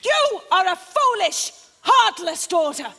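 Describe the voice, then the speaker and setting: Casting: scolding mother, very high, sharp and swooping, declamatory stage delivery in a ringing hall. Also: sing-song, takes it slowly, heavily acted. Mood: outraged. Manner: imperious, declamatory. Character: harried, scolding, domineering mother. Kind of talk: theatrical stage acting.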